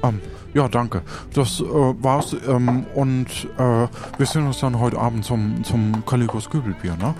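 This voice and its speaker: A man's voice: medium pitch, measured pace, hardly any pauses, over music, pitched below the performer's own voice, lightly acted. A man playing an easygoing, chummy pirate customer who talks in a folksy way. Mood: relaxed, chummy. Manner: folksy, ends sentences with "ne?".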